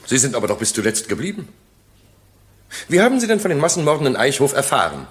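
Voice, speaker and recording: An actor playing a probing, pointed public prosecutor in a radio courtroom drama, pressing and rhetorical. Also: male voice, mid-range voice, big pitch swings, fast, fairly bright tone.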